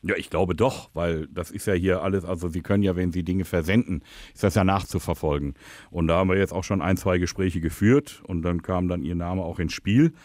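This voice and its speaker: A man's voice: deep voice, a little fast, a little mellow, dry recording. A man talking casually and conversationally as the host of a prank call show.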